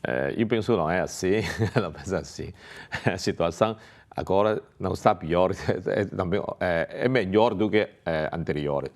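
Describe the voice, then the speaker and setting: A man speaking haltingly as a non-native speaker, full of pauses and restarts, as a candidate in a televised political debate. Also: sits low. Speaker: election candidate. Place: television studio.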